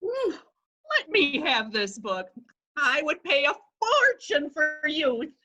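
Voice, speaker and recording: A woman's voice: high voice, big pitch swings, slow pace, bright, forceful tone, dull audio, heavily acted. An actress reading the part of a skeptical widow who teases her companions, in a readers theatre play. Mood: needling. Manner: teasing, pointed. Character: sharp-tongued wealthy widow, teasing and skeptical. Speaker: actor.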